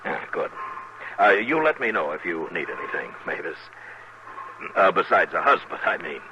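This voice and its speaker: An actor playing a patient, laconic frontier marshal, gruff but decent, with a dry, unhurried manner in a 1950s radio western. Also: male voice, sits low, very animated. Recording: muffled vintage radio broadcast.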